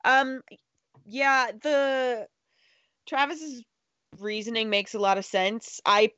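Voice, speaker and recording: A woman's voice: a little high, steady tone, slow pace, fairly bright tone, dry recording, dull audio. A woman co-hosting a casual podcast chat, teasing and wry.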